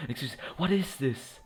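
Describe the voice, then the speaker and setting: A man's voice: a little high, slightly rough. A man giving casual, rambling, hesitant commentary as he plays through a video game. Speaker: gaming streamer. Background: game music.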